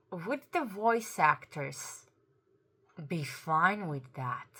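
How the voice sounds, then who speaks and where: Slow, bright-voiced woman, a native Croatian speaker. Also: medium pitch. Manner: earnest, searching for words, self-correcting. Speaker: YouTube commentator. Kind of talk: opinion commentary to camera.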